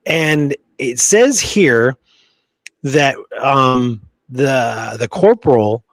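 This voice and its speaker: A man's voice: medium pitch, expressive, extremely slow, a bit bright, dry recording. Man casually thinking aloud, dry close sound. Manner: thinks aloud, informal slangy wording. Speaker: podcast co-host. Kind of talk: casual discussion.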